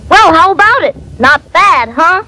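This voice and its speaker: A woman voicing a perky little bird in a children's storybook cartoon, with exclamatory, animated chatter. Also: extremely high pitch, a little slow, very noisy, voice pushed up. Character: perky little gray bird, scolding and eager.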